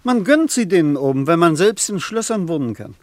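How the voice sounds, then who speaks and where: An actor playing a calm, pragmatic man speaking matter-of-factly, in an old radio play. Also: on the high side, brisk, no echo.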